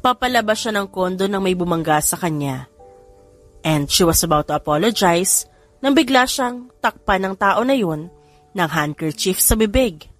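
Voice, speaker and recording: A woman's voice: mid-range voice, lively intonation, moderate pace, soft background music. A woman reading scripted third-person narration for a romantic drama audiobook.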